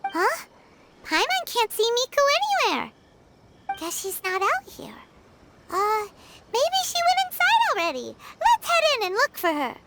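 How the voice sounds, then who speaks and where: A woman voicing a tiny, excitable fairy companion in a video game, who talks about herself in the third person and whines easily. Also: extremely high pitch, unhurried, very noisy, exaggerated voice. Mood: puzzled. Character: tiny floating female fairy companion, chirpy.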